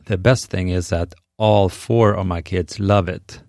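A middle-aged man from Sweden with a Swedish accent, speaking English as a second language. He hosts a podcast and delivers a scripted monologue in an earnest, measured, encouraging way. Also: sits low, fairly even intonation, darkish tone, no room sound, clean studio sound. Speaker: podcast host.

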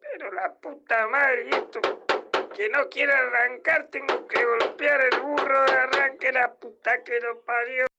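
A man's voice: extremely high pitch, steady tone, measured pace, lo-fi sound, over loud music. A man playing a fussy, grumbling Argentine bus driver leaving repetitive voice messages in a comic radio play. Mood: exasperated. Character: grumbling, rule-bound old-time bus driver.

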